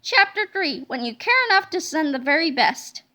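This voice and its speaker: A woman reading a children's book aloud in an expressive, dramatic storytelling manner. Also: high voice, normal speed. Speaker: storybook reader.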